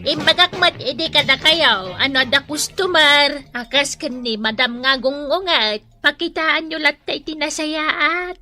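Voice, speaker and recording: Preachy older filipino boss lady, high and super piercing, dry studio sound, radio drama. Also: measured pace, hardly any pauses, pushed and bright, soft background music, exaggerated voice.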